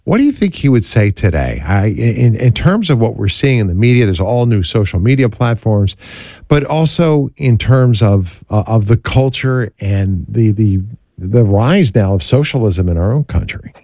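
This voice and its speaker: Male radio host, conversational, low mellow voice, dry studio sound. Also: sing-song, moderate pace, dry recording. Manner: affirming, conversational. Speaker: radio talk show host. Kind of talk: radio interview.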